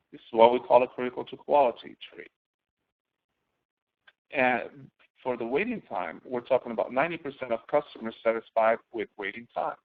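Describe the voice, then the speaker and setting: A man giving an instructional walkthrough as a course instructor, explaining step by step and posing questions that he then answers, in a gravelly voice. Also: mid-range voice. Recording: narrow, phone-like audio.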